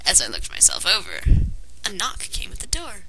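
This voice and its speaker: A woman's voice: mid-range voice, wildly swooping pitch, moderate pace, pushed and bright, breathy edge, in a whisper. A woman reading a fan fiction story aloud as narrator, in a dramatized way. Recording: noisy amateur home recording.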